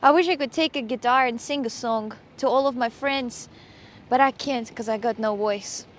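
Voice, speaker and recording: Play a woman livestreaming on her phone outdoors; keep it high, eager and teasing. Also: moderate pace, a little hiss or hum. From Russia. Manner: excitable, repetitive, playful. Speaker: streamer. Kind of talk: casual livestream chat.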